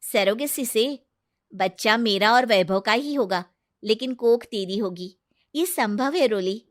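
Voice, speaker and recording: Woman playing an assertive well-off friend, emphatic, businesslike, high-pitched, dry cartoon story recording. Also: lively intonation, unhurried, no room sound. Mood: persuasive. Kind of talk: dramatized cartoon moral story.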